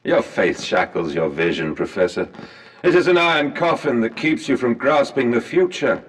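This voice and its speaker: An actor playing a proud, bitter and obsessive visionary industrialist in a period video game, with grand oratorical delivery. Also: male voice, mid-range voice, big pitch swings, moderate pace, close to an everyday voice. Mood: bitter, fervent. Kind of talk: scripted game voice acting, period diction. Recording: distant, long hall reverb.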